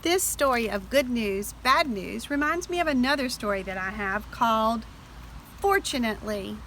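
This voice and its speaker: A woman reading picture books aloud to young children, explaining directly to her listeners with expressive delivery. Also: on the high side, normal speed, slight background noise, rough recording. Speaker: storybook reader for children. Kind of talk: read-aloud picture book narration.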